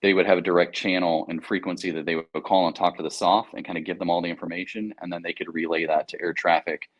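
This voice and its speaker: A man's voice: sits low, fairly even intonation, fast talker, muffled recording. An American man talking casually in an interview, explaining things in an informal, anecdotal, slangy way. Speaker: air traffic controller, interview guest.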